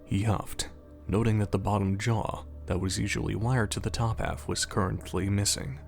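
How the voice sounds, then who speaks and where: A man narrates an audio drama in a calm, steady voice that sits a little low. Faint music plays quietly behind him. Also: moderate pace, vocal fry.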